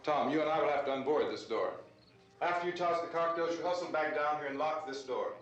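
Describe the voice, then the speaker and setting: An actor playing a commanding, practical survivor in a 1960s horror film, giving firm instructions. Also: male voice, a little high, a little fast, natural voice. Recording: dull echoey old film soundtrack.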